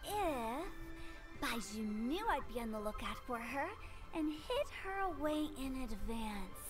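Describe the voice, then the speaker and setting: A woman's voice: high voice, big pitch swings, takes it slowly, recorded from a distance, over music, exaggerated voice. A woman voicing a quirky, talkative teenage girl in a video game, telling things in a theatrical way. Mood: playful.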